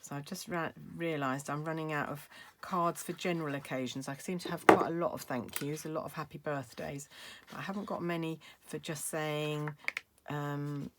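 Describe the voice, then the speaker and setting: A middle-aged woman with a low, slightly croaky voice talks through a card-making demo. She is chatty, thinking aloud as she works at her craft table. A faint hiss and the odd click sit under her voice. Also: fairly even intonation, normal speed. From United Kingdom. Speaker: craft demonstrator. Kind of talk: casual craft tutorial.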